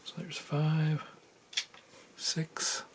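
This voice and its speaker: A man giving a casual, unscripted how-to in a low-key, halting murmur while he works with his hands at a bench. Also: monotone. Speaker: electronics hobbyist demonstrating a repair. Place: indoors at a workbench. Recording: noisy room with handling clicks.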